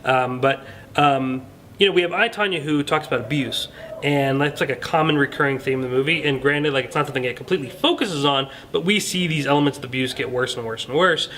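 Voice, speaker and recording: Man casually discussing films, even-pitched voice. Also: fast talker, a little hiss or hum. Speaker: film review show host.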